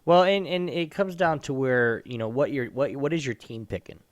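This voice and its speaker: A man with an average-pitched voice in a very dry recording. He discusses games casually as a co-host, giving firm opinions in loose slang. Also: normal speed, no room sound. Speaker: co-host of a gaming show.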